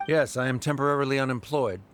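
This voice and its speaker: A man's voice: mid-range voice, a little hiss or hum, close to an everyday voice. An actor playing a proud, reserved middle-aged scholar and father in a video game, speaking in stiff, formal phrases. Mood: reserved, reflective. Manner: stiff, formal, measured wording. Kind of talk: voiced video game dialogue.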